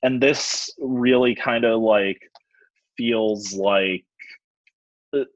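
A young man co-hosting a music podcast, talking casually with many fillers and pauses. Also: lowish voice, steady tone, no room sound. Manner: hesitant, filler-heavy, reads out his notes. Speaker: podcast co-host. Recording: dull, dry lo-fi mic.

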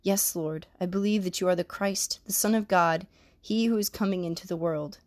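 A woman's voice: fairly low pitch, moderate pace, darkish tone, close to an everyday voice. A woman reading the lines of an earnest, grieving woman of faith in a plain manner. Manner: plainly read.

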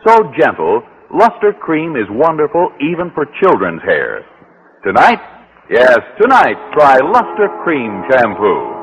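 A man's voice: medium pitch, huge pitch swings, measured pace, background noise. A male announcer reading a vintage radio commercial with emphatic, selling phrasing.

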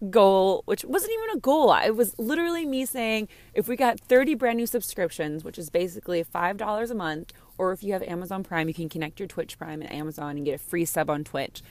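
A slightly hoarse woman chatting candidly and casually as a podcast host, rambling and gushing, easily distracted. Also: medium pitch, fairly bright tone, dry recording. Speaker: podcast host and game streamer. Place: inside a parked car.

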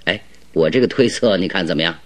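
A man's voice: deep voice, fast talker, natural voice. A man acting a shrewd, self-assured detective in a radio drama, reasoning aloud in an expansive, conversational way. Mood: reasoning, animated.

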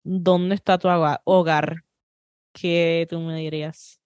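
A woman with a Caribbean Spanish accent hosts an interview. Her voice sits fairly low and sounds close and dry. She listens attentively and asks her questions plainly. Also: flat delivery, slow pace, a little mellow, no room sound. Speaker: podcast host.

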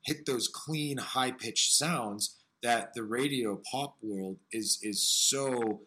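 A man with a smoky, raspy voice hosting an interview, talking earnestly and searchingly about music and his own struggles. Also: medium pitch, takes it slowly, sharp tone. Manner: earnest, searching, piles up phrases. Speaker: podcast host. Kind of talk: reflective interview talk.

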